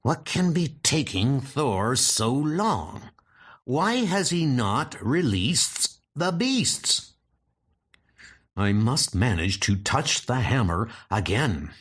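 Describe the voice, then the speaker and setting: Casting: man to play arrogant trickster god, theatrical comic-dub villain, slightly husky, wildly varied pitch. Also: big pitch swings, slow pace, a bit bright, heavily acted. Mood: frustrated. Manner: theatrical, pompous, archaic commanding speech.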